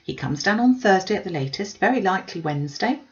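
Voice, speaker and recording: A woman's voice: mid-range voice, lively intonation, fast talker, dull audio, close to an everyday voice. A woman voicing a busy middle-aged gossiping aunt in a classic novel, chatty and matter-of-fact. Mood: confiding. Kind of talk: classic novel read-aloud.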